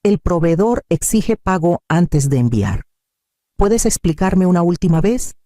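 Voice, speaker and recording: A man's voice: sits high, big pitch swings, a little slow, dark tone, no echo, studio quality, pitched above the performer's own voice. A man reading the part of a worried, demanding adult son in a scripted story, with pleading, insistent phone-message lines. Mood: urgent.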